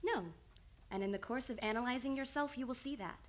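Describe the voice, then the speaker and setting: A woman playing a young, earnest psychiatrist with formal, measured speech in an old-time radio play. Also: a little high, close to an everyday voice. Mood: calm, clinical. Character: young, reserved, earnest female psychiatrist, precise and clinical.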